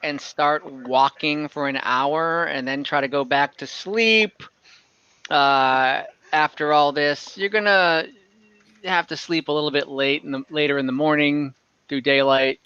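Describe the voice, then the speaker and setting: A man acts as game master in an online tabletop game, improvising scenes and checking in with players. His voice is fairly high and bright. The sound is close and dry. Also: fairly even intonation, a little slow, smooth, no room sound, dull audio.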